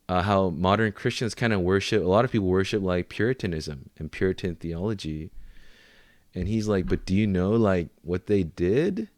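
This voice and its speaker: A man's voice: low voice, dry recording, clean studio sound. A man co-hosting a podcast, talking casually in informal, bro-ish slang. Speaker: podcast co-host.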